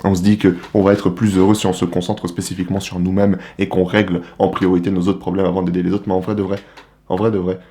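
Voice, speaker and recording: A young man with a fairly low voice delivers a casual vlog monologue. He talks in an animated, slangy way and keeps throwing rhetorical questions at the viewer. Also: fast talker. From Republic of the Congo. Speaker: vlogger.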